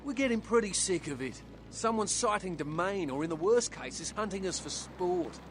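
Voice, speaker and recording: A man's voice: high voice, lively intonation, normal speed, a little hiss or hum. A man playing a young surfer turned outcast vampire in a video game, wistful and bitter, with a folksy, plain-spoken manner. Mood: weary, bitter. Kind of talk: video game dialogue acting.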